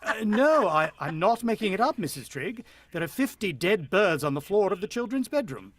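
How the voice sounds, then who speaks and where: A man's voice: sits high, sing-song, normal speed, fairly bright tone, no room sound, heavily acted. A man acting in a radio drama as a shaken, earnest, protective father with a hesitant way of explaining himself. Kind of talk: radio drama acting and first-person narration.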